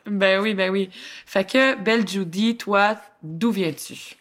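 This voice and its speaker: A woman with a Québécois accent co-hosting a podcast, chatting in a lively, expressive, colloquial way and laughing often. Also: mid-range voice, takes it slowly. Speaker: podcast co-host. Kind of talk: casual chat.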